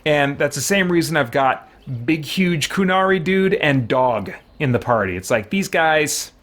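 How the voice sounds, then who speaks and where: A man's voice: on the high side, animated, unhurried, fairly bright tone. A man chatting casually and a little self-effacingly while livestreaming a game. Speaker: game streamer.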